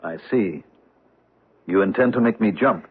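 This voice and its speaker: A man's voice: fairly low pitch, a little slow, a little hiss or hum, lightly acted. An actor with an American accent in an old-time radio drama, playing a cornered man who is secretly a former German officer. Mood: cold, unrepentant. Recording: muffled vintage radio recording.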